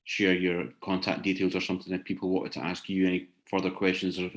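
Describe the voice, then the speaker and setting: A man's voice: deep voice, completely flat delivery, fast talker. A man hosting a discussion off the cuff, speaking politely and tentatively with some hesitation. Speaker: discussion host. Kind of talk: unscripted moderating of an online discussion. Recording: roomy, echoing sound.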